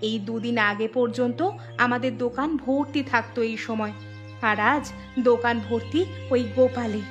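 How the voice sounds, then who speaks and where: Woman playing an outspoken cartoon village wife, high-pitched. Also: unhurried, highs cut off, faint music behind, close to an everyday voice. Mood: worried, reproachful. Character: outspoken village shopkeeper's wife, sharp-tongued and fretful. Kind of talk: cartoon voice acting.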